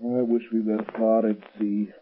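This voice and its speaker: A man's voice: on the low side, level tone, moderate pace, close to an everyday voice. An actor playing a nervous, fearful, submissive henchman with a gloomy, anxious manner, in 1930s radio drama on a noisy, tinny recording. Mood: gloomy, uneasy.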